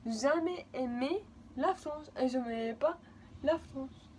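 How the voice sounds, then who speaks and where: Woman, twenties, Spanish accent, native Spanish speaker, rambling emotional storytelling, higher voice, faint noise. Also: takes it slowly, dark tone. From Spain. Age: 20s. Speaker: vlogger. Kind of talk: personal storytelling to camera.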